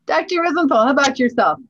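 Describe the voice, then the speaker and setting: A woman hosting a podcast, giving formal introductions in a halting way with frequent hesitations. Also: high voice, a bit bright. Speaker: podcast host, society president. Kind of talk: formal introductions and casual chat. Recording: dull lo-fi audio.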